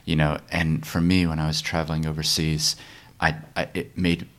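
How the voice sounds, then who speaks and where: A male podcast host with a very low voice keeps the conversation going. He is relaxed and encouraging, and he asks follow-up questions. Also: normal speed. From moved to New Zealand at seven. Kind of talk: casual interview chat.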